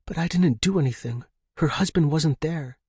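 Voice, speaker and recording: A man voicing a gentle, reluctant young woman in an audiobook, soft-spoken and hesitant. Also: on the high side, moderate pace, breathy edge, dry recording, clean studio sound, voice pushed up. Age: young adult. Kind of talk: audiobook character reading.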